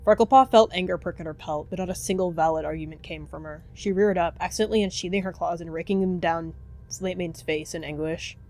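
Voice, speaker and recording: Mid-pitched dramatic story narrator. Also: steady tone, a little fast, darkish tone. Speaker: roleplayer narrating a character.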